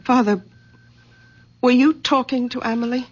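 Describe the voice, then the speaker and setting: An actress playing an anxious, protective middle-aged daughter, earnest and pleading, in 1970s radio drama style. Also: female voice, on the high side, normal speed, lightly acted. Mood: probing. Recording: dull vintage radio drama recording.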